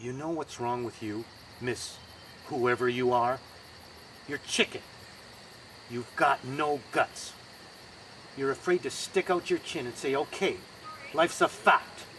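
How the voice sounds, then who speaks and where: A man's voice: mid-range voice, slow, pauses often, sharp tone. A man playing a frustrated lover in a dramatic film monologue, blunt and accusing. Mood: frustrated, reproachful. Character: frustrated male lover confronting a flighty woman. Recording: echoey room, distant mic, faint noise.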